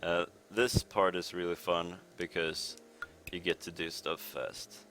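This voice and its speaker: A young Swedish man with a Swedish accent commentating his speedrun in a relaxed, rambling way, with many pauses and fillers. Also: deep voice. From Sweden. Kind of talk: casual live commentary over gameplay. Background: game music.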